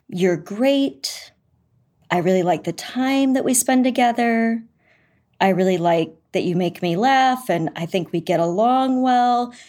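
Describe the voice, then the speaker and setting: A woman talking through her thoughts as an interview guest, candid and reflective, often reaching for the right words. Her voice sits at a middle pitch. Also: darkish tone. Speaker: author and guest. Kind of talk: conversational interview.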